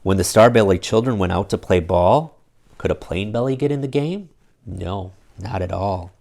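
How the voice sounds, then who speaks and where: A middle-aged man reading a children's picture book aloud, expressively, pausing to explain things to young listeners. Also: deep voice, gravelly, a little mellow. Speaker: storytime reader.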